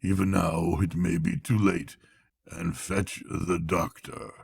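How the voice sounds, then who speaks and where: A man voicing a languid storybook toad, feeble and murmuring, playing the mournful martyr as if gravely ill. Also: sits low, steady tone, slow pace, darkish tone, no room sound, full character voice. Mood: feeble, pleading. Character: languid, self-pitying male toad feigning grave illness.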